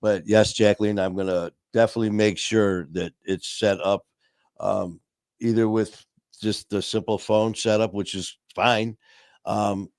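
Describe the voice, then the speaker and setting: Man, live-stream host, lowish voice, rambling, lots of ums, dry close sound. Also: fairly even intonation, unhurried, darkish tone, dry recording. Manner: rambling with many fillers and restarts; friendly and upbeat, addressing viewers. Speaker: livestream host. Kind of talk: casual live-stream chat.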